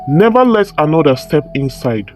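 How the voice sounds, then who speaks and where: A woman's voice: deep voice, very animated, normal speed, a little mellow, faint music behind, pitched below the performer's own voice. A woman voicing a troubled, scheming male pastor with a solemn, earnest manner in a folktale. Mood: grave confession. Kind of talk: dramatised folktale storytelling.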